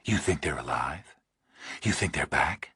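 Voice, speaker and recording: A man voicing a serious, matter-of-fact female investigator with a husky voice in a thriller audiobook. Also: extremely low pitch, animated, a little slow, bright, forceful tone, dry recording, clean studio sound, pitched below the performer's own voice, full character voice. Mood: questioning.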